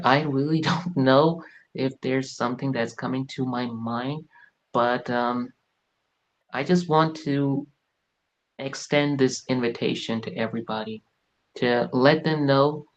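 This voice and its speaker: A man in his late twenties talks reflectively, earnest and searching, circling back on his words. His voice sits at an ordinary middle pitch. The recording sounds a little dull and lo-fi. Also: steady tone, slow. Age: late 20s. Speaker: guest. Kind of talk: reflective personal talk.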